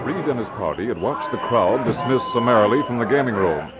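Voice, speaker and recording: An actor serving as the formal storytelling narrator of an old radio thriller. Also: male voice, mid-range voice, animated, brisk, lightly acted. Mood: measured, suspenseful. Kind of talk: 1940s radio scripted narration. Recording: muffled old radio recording, music behind.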